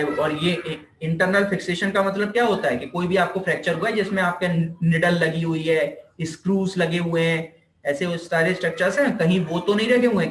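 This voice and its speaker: A man's voice: a little high, fairly bright tone, a little hiss or hum. A man with an Indian accent giving an informal explanatory lecture, conversational, repeating points and asking rhetorical questions, mixing in English technical terms. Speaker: physiotherapy lecturer. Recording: echoey, reverberant room.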